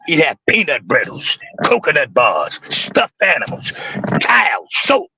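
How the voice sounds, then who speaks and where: A man with a raspy voice who talks intensely and with emphasis, swearing freely in a casual livestream chat. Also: a little high, wildly swooping pitch, measured pace, pushed and bright, no room sound, low-quality recording. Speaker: guest on a live stream.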